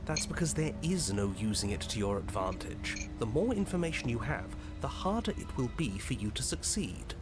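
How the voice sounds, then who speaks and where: An actor playing an unseen game narrator who speaks in a measured, evasive, quietly reproachful way. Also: male voice, medium pitch, very animated, lightly acted. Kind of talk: video game voice acting. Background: game music.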